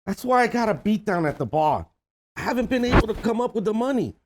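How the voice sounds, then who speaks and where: Man acting a desperate, blustering, higher-voiced uncle. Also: sing-song, quick pace, noisy background. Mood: pleading, rueful. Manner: blustering, pleading. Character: desperate, scheming middle-aged uncle, small-time gambler in debt. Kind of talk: comedy script table read.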